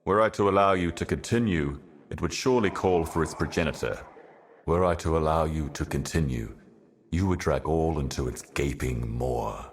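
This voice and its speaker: Casting: ancient cryptic cosmic being, fantasy game. Bottomless bass, solemn, portentous, measured prophet of doom. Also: male voice. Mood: stern warning. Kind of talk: theatrical fantasy game monologue.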